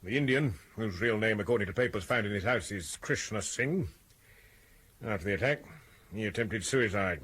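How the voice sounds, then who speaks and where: A low, husky police inspector. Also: male voice. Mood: grave. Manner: deadpan, measured. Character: sober, dry, cautious male police inspector. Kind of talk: radio drama acting.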